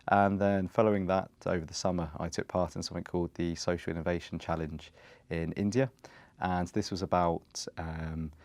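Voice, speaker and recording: A man's voice: low voice, darkish tone, no echo. A man, a wellbeing practitioner, methodically recounting his studies and training. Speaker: psychological wellbeing practitioner, former master's student. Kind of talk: recorded career testimonial.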